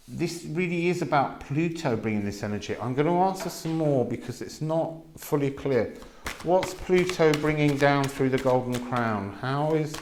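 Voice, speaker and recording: A man giving a casual spoken card reading, musing slowly with many pauses. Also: medium pitch. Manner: slow, musing, drawn-out delivery with many pauses. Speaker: tarot and astrology reader. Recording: echoey room with faint noise.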